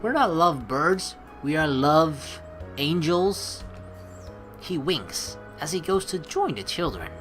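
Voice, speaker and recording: A woman hosting a let's play, reading game narration and dialogue aloud with playful, expressive commentary. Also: sits low, slow pace. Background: game music.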